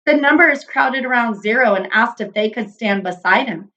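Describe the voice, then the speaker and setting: A woman narrating a children's storybook in an expressive read-aloud style. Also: a little high, normal speed, dull audio.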